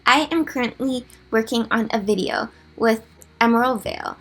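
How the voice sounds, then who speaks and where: A young woman's raspy, slightly high voice with a gravelly edge. She chats in a casual, rambling way and pokes fun at herself, peppering her talk with fillers like "like". It is a relaxed gaming vlogger voice-over. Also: moderate pace, faint music behind. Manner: chatty, self-deprecating, lots of "like" fillers. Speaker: gaming YouTuber. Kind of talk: casual voice-over of a drawing timelapse.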